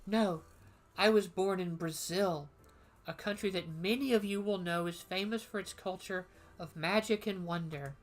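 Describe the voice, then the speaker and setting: A woman voicing a formal woman teacher of magical history in a game, lecturing in a measured way. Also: lowish voice, natural voice.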